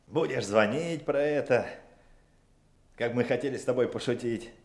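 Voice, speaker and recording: A man's voice: mid-range voice, a little slow, darkish tone, roomy sound, lightly acted. A man playing a wheedling, blustering young prankster detective in a Soviet radio drama, with a whiny, cajoling manner.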